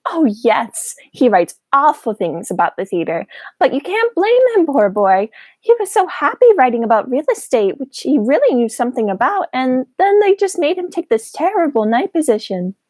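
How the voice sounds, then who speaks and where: A sweet elderly aunt played by a young woman in a radio play, high and very lively in pitch. She is genteel, fussy and chatty, blithely cheerful and coaxing, recorded close and dry. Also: moderate pace, no echo.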